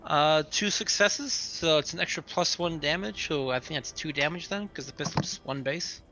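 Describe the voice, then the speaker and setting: A man's voice: on the high side, moderate pace, sharp tone. A man chatting casually as a player in a tabletop roleplaying game. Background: background music.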